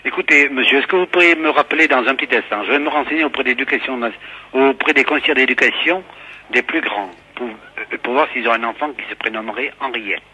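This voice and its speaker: A middle-aged man from the south of France, a school caretaker, speaking politely and obligingly on the phone, repeating himself haltingly. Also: medium pitch, bright, forceful tone, breathy edge, rough recording. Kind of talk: phone conversation.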